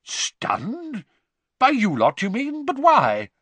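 A man voicing an excitable, astonished gentleman who blusters out flustered questions, in a storybook reading. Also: extremely high pitch, moderate pace, dry recording, voice pushed up, full character voice.

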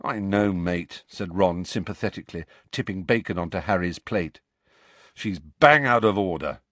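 A man's voice: low-pitched, moderate pace, a bit bright, no room sound. A man voicing an easygoing, loyal teenage boy who speaks casually and sympathetically. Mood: sympathetic.

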